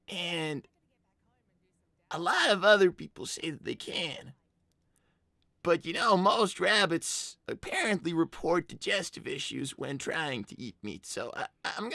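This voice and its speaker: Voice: mid-range voice, vocal fry, bright, forceful tone, no room sound, studio quality, heavily acted. A performer voicing a young boy fox, stammering and exasperated as he argues with his mother in an improvised livestream roleplay. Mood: hesitant, explaining. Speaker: character on a live roleplay show. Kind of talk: improvised in-character livestream chat.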